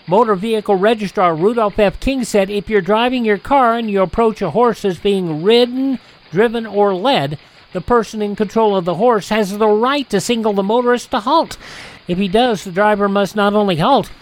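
A man's voice: sits high, moderate pace, low-quality recording. A man hosting a radio show, reading scripted news items and announcements.

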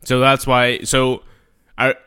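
A man's voice: medium pitch, monotone, slow, bright, forceful tone, clear, resonant tone. A man in improv comedy banter, teasing and playfully bossy. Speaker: improv player.

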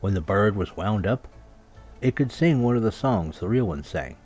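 A man's voice: on the low side, lively intonation, moderate pace, a little mellow. A man narrating a scripted children's story as a storyteller. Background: music and birdsong sound effects.

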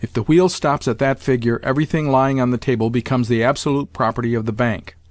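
A man voicing a polite, cautious male companion with measured, courteous delivery in an audiobook. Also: medium pitch, steady tone, no room sound, clean studio sound, close to an everyday voice. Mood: patient, cautioning. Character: cautious, polite younger male companion, remonstrating with an old lady. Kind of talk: audiobook character dialogue.

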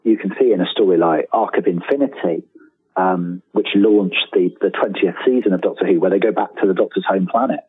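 Husky man on a tinny phone line. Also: sits low. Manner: halting, rephrases and repeats words as he thinks aloud. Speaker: guest author and lifelong fan. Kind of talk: casual interview talk. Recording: tinny, roomy telephone-like line.

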